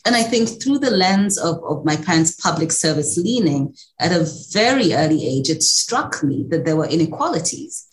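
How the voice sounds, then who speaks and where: Lower-pitched Kenyan woman reflecting in interview. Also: animated, moderate pace, hardly any pauses, roomy sound, poor audio. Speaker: interview guest, executive director. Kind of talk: conversational interview.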